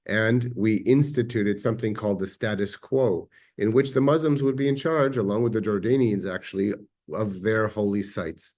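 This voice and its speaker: A man with an Israeli accent giving a measured, reflective history lecture, pausing now and then with fillers. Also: lowish voice, a little mellow. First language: Hebrew.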